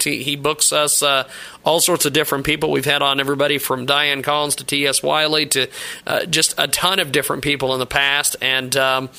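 A man hosting a live internet radio show, welcoming his guest with enthusiasm, quick laughs and effusive praise. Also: on the high side, vocal fry, sharp tone, smooth.

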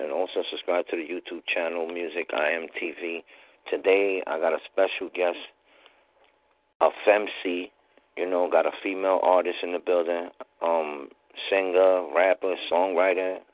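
A man hosting an interview, asking casual questions in a halting way with many ums and restarts. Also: deep voice, no room sound. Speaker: interview host. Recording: dry, tinny phone-like sound.

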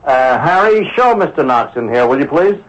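An actor playing an amused, blustering lawman in a radio drama, with an animated, emphatic delivery. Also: fairly high pitch, moderate pace, slight background noise. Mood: wry, businesslike. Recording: dull, lo-fi old radio recording.

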